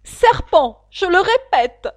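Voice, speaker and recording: A woman voicing an anxious, shrill female pigeon guarding her eggs, shrieking and scornful. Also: very animated, slow pace, full character voice.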